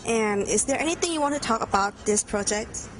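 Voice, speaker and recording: Young woman interviewer, slightly high voice. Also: lively intonation, fairly quick, slight background noise, rough recording. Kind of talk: event interview. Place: large bright room at a game jam. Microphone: handheld mic.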